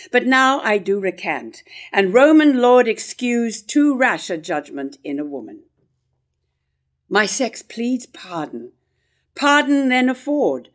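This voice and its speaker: Tragic stage queen, played by an older woman, conflicted and mourning. Formal verse, declaimed at a slow, weighty pace. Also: on the high side. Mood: rueful, reflective. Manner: deliberate, declamatory verse delivery. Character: conflicted grieving queen, torn between love and hate. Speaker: actor in a staged play reading. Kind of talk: staged reading of early modern verse drama. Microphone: stand microphone at a lectern.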